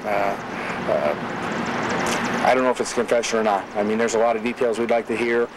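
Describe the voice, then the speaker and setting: Hesitant American policeman, low-pitched, noisy outdoor recording. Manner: hesitant, careful, halting. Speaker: police official. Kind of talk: unscripted statement to press. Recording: noisy outdoor news interview, distant mic.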